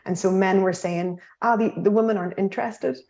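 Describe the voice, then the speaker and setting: A woman's voice: medium pitch, fairly even intonation, a little fast, darkish tone, lo-fi sound. A woman on a panel answering questions in an earnest way, with many restarts and fillers.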